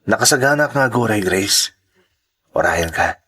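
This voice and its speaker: Lovestruck, persistent male suitor for radio romance played by a man, Ilocano accent, lowish husky voice. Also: lively intonation, normal speed, sharp tone, exaggerated voice. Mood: earnest, tender. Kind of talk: radio drama acting.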